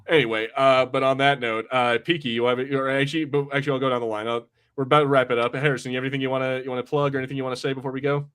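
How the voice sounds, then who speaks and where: Guy hosting a movie podcast, chatting casually, swears a lot, jokey, mid pitched voice. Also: level tone, racing, hardly any pauses, a bit bright, smooth. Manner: sweary, informal, joking. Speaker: podcast host. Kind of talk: casual banter on a film watch-party podcast.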